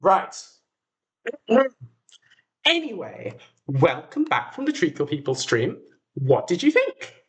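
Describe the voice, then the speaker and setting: A man's voice: a little high, huge pitch swings, takes it slowly, long pauses, a bit bright. A man with a runny nose hosting a casual livestream, talking in a chatty, self-deprecating way. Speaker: livestream host and audio drama maker.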